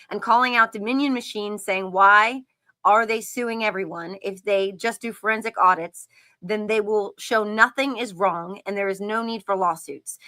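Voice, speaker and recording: A woman's voice: medium pitch, sharp tone. A woman co-hosting a live commentary stream, reading aloud and commenting in a conversational way. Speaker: co-host reading texts and commenting.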